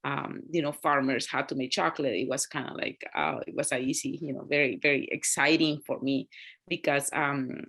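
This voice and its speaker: Gravelly woman. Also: very deep voice, normal speed, a bit bright. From Honduras. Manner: easygoing, many fillers. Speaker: panel guest. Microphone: video call.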